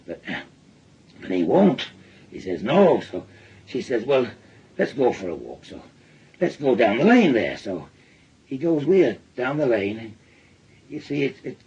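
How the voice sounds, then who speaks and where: An actor playing a bitter, weary, ailing working-class father with a Northern English accent and a husky, halting delivery in a radio drama. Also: medium pitch, very animated, a little mellow, lo-fi sound, heavily acted. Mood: brooding, halting. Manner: halting, repeating himself. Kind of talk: naturalistic British radio drama acting.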